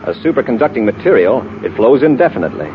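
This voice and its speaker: Brisk, commanding space patrol commander played by a man. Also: mid-range voice, very animated, close to an everyday voice. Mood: measured, thoughtful. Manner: crisp, authoritative, giving orders. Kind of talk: 1950s radio drama acting. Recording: noisy, muffled 1950s radio recording.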